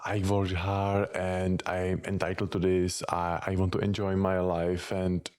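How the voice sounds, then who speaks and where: A man's voice: low-pitched, monotone, measured pace, a little mellow, clear, resonant tone. A man from the Republic, giving blunt, dismissive reaction commentary. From Czech Republic. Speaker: reaction video host.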